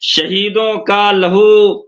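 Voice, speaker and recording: A man speaking as a poet on a remote link, reciting couplets and repeating each line, with some halting restarts. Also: high voice, level tone, muffled recording.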